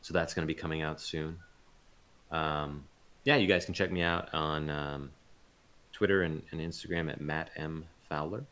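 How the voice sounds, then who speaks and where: A man's voice: very deep voice, fairly even intonation, normal speed, a bit bright. A man talking casually and informally as an interview guest. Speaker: interview guest, voice actor and audio drama producer.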